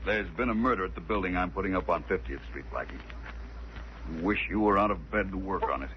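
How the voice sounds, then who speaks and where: A courteous construction boss acted by a man with a deep voice and a suave, slightly troubled air. It is 1940s radio acting on a dull, faintly noisy recording. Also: brisk, close to an everyday voice.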